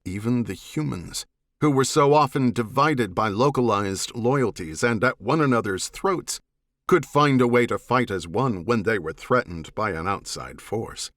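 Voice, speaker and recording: A man narrating a science fiction audiobook in a measured, serious and dramatic manner. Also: medium pitch, expressive, a bit bright, dry recording, clean studio sound.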